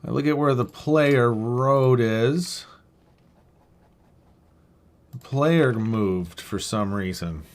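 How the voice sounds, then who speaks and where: A man streams game development from a computer desk in a casual register. He talks through the work as it happens, thinking aloud, and breaks off to answer chat. The pitch sits in an ordinary middle range. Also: very slow, long pauses. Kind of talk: casual livestream work commentary.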